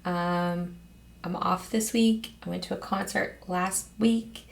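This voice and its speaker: A woman with a hoarse, raspy voice, chatting casually as host of her own get-ready-with-me vlog at home, rambling with frequent ums. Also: lowish voice, steady tone, slow pace. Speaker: beauty vlogger host.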